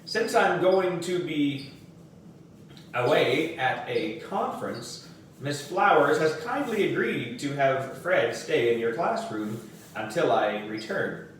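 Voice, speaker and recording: A man reads as a doting school principal in a children's storybook. He is indulgent and baby-talking, with a very lively pitch. The sound is distant and very echoey. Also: slow pace, slight background noise. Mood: explaining proudly. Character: doting male school principal fond of his pet frog.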